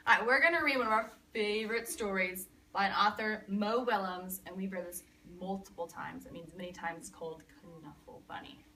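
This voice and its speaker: A woman reading a picture book aloud to a young child in an expressive, dramatic way, pausing to chat and ask questions. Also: mid-range voice, distant mic, a little hiss or hum, rough recording. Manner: expressive, with dramatic gasps and emphasis.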